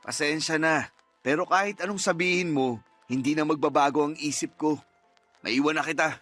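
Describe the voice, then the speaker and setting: A man acts out a cold, selfish partner in a radio drama. His voice sits a little high and his delivery is blunt and dismissive, with music playing behind him. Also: normal speed, a bit bright, close to an everyday voice. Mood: firm, unmoved. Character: callous, selfish man walking out on partner and child. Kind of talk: Tagalog radio drama acting.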